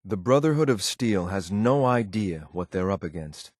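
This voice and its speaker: Low, deadpan synth agent. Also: male voice, no echo, clean studio sound. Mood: stern, detached. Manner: clipped, measured, formal, deadpan. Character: stoic, dutiful synth agent, cold and formal adult male. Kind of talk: scripted video game companion lines.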